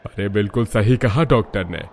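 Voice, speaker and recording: A man's voice: on the low side, moderate pace, darkish tone, slight background noise, natural voice. A man playing an authoritative village headman addressing people in a cartoon moral story. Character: village headman, authoritative and agreeable community leader.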